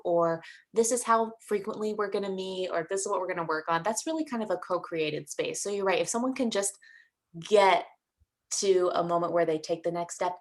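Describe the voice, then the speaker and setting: A woman interviewing a colleague, asking friendly, rambling questions with many fillers. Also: medium pitch. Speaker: interviewer, fellow counselor.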